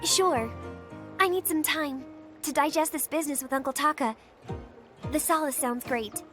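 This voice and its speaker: Actress as young game girl, very high voice, eager, polite, earnest, music behind. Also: normal speed, lightly acted. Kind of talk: animated video game cutscene acting.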